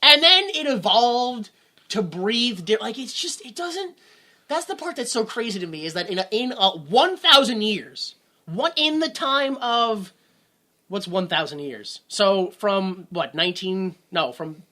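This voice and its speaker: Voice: medium pitch, lively intonation, a little slow, bright, forceful tone. A podcast co-host in casual movie-review chat, rambling and sarcastic, often breaking off mid-sentence. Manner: rambling, self-interrupting, sarcastic.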